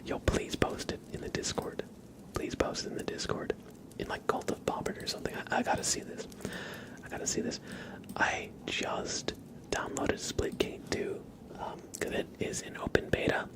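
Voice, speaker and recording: A man speaking in a soft, breathy whisper, chatting casually with viewers as an ASMR livestream host. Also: normal speed.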